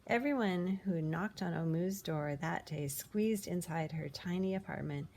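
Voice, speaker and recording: Low-voiced woman reading a children's storybook. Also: unhurried, mellow, slight background noise. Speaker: storybook reader. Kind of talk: expressive read-aloud of a children's picture book.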